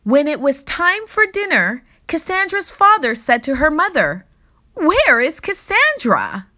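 A woman reading a children's story aloud in expressive narration, with deliberate, theatrical emphasis. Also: high voice.

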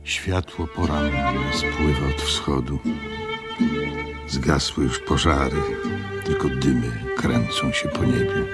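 Very deep, slow old innkeeper. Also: male voice. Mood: reflective. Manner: solemn, measured. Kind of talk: Polish radio drama narration and monologue.

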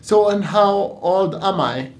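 A man in his twenties, an English teacher, doing a playful classroom dialogue in a meeting room, swinging from loud mock outrage to quiet. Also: high voice, takes it slowly. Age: 20s.

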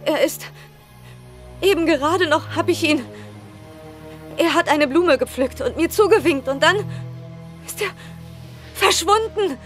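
An actress playing a distraught, hysterical wife in an audio drama, wailing. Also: female voice, very high voice, unhurried, pauses often, soft background music. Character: distraught wife who saw her husband vanish.

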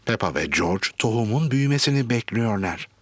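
A man's voice: lowish voice, animated, a little fast. A man giving scripted storytelling narration for a young children's cartoon.

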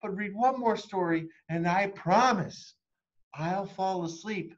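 A man voicing an eager, excitable little chicken girl who blurts and pleads like a child in a storybook. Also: high-pitched, slow pace, muffled recording, voice pushed up. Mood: pleading.

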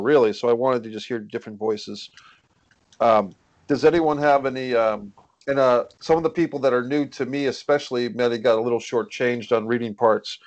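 A man's voice: medium pitch, no echo, highs cut off. A man hosting and narrating a screenplay table read over a video call. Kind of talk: reading stage directions, casual moderating.

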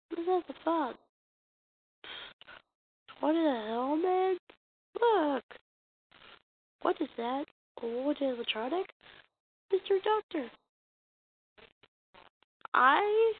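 A muffled, slightly hissy home recording of a woman with a high voice. She reads cartoon comic dialogue in an amateur dramatic style, halting and stumbling as she goes at an unhurried pace. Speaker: amateur comic dub voice actor. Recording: muffled lo-fi mic with hiss.

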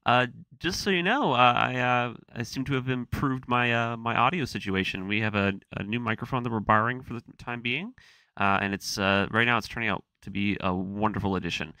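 A man's voice: mid-range voice, brisk, pushed and bright, smooth, no room sound. A man hosting a video series, narrating a recap in a conversational, semi-scripted way. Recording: music playing behind the voice. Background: music.

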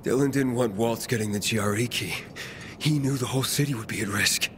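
A man acting as a haunted young male survivor in a dramatic video game. His mid-range voice is grave and halting, weighed down with guilt, over a faintly noisy ambience. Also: mid-range voice, lightly acted. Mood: grim realization.

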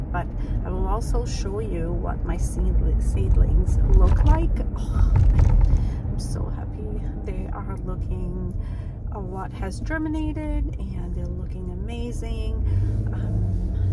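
A woman in her forties from the Azores, chatting casually and rambling with lots of fillers as she vlogs from her car. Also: lowish voice. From Portugal, Azores. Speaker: lifestyle vlogger. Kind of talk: casual chat while driving. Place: inside a car. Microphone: dashboard camera mic. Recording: distant mic, loud road noise.

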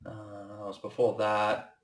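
A young man hosting a casual reaction commentary, talking haltingly and swearing now and then. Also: lowish voice, completely flat delivery, recorded from a distance. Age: young adult. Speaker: reaction video host. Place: small indoor room with shelves. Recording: echoey room, off-mic, music behind.

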